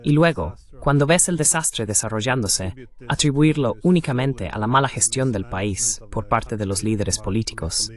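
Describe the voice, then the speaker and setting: A man's voice: mid-range voice, moderate pace, natural voice. A man voicing a translated interview host in a political discussion.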